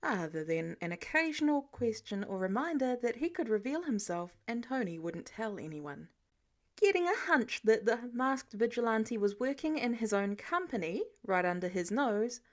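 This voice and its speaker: A woman's voice: on the high side, very animated, moderate pace. A woman reading scripted story narration as an audiobook narrator. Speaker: fan fiction audiobook narrator.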